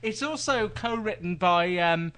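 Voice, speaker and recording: A man co-hosting a TV podcast, talking through new shows in easy chat with dry, teasing humor. His voice sits high for a man and sounds bright and forward. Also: lively intonation, unhurried. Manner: chatty, dry teasing asides. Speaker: podcast co-host, TV reviewer.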